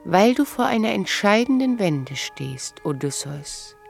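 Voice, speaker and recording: A proud, knowing, mature wife in a radio drama. She speaks slowly and deliberately with a creaky edge, irony cutting through each phrase. Faint music sits behind her. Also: mid-range voice, big pitch swings. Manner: measured, ironic, deliberate. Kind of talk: radio play acting, literary dialogue.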